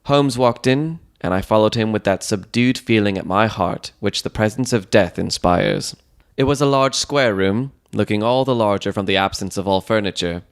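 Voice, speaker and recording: Low-voiced man narrating a mystery. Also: normal speed, smooth. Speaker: audiobook narrator. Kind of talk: scripted dramatic narration of a Victorian mystery.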